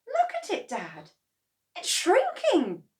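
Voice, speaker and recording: A woman voicing an earnest, anxious young boy with a squeaky voice in a storybook reading. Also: huge pitch swings, slow pace, darkish tone, far from the mic, full character voice. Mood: worried.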